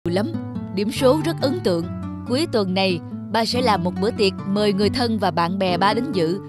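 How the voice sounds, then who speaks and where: A man's voice: high-pitched, lively intonation, normal speed. A middle-aged cartoon dad, proud and pushy. He talks in a firm, insistent way with music behind him. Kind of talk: cartoon dialogue.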